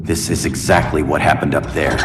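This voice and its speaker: An actor playing a brave, wisecracking space-cop superhero in a video game, confident but guilt-ridden and earnest. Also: male voice, very deep voice, fairly even intonation, fairly quick, noisy background. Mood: earnest, urgent. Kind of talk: cinematic game voice acting.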